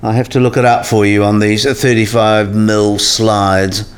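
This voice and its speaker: A man acting a relaxed, know-it-all professor in a radio play, explaining things with dry, teasing wit. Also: on the low side, a little slow, lightly acted.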